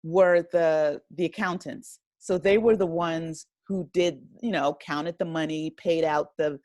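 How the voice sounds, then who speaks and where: An American woman speaking casually as an interview guest, halting and often rephrasing, with plenty of "you know". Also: sits low, steady tone, a little mellow, no room sound. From United States, a rural town. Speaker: interview guest, writer and director of an audio drama.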